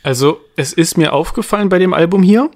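A man's voice: high-pitched, brisk. A man co-hosting a music podcast, talking casually about songs and singers.

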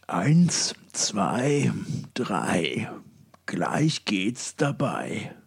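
A middle-aged man co-hosting a podcast, chatting casually about music with dry, teasing, halting delivery. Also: on the low side, sing-song, creaky, breathy edge. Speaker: podcast co-host.

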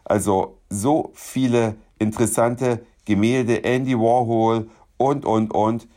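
A slightly hoarse man giving a chatty, enthusiastic travel report as host of his own podcast. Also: medium pitch, steady tone, slow pace, warm tone. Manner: chatty and enthusiastic, with small restarts.

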